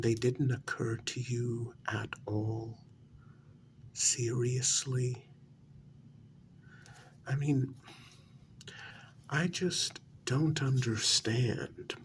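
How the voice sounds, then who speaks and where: A man playing a condescending, unhinged asylum patient in a soft-spoken ASMR role-play, halting and matter-of-fact, often slipping toward a half-whisper. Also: medium pitch, slight background noise. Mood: condescending, probing. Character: condescending, unhinged male asylum patient who believes he created everyone.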